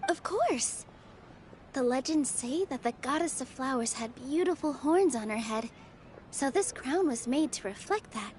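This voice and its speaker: A woman's voice: sits high, animated, unhurried, a little hiss or hum. A woman playing a cheerful, gracious young theater dancer in a video game, speaking politely and welcomingly. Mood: cheerful pride. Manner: bright-spirited, polite, welcoming.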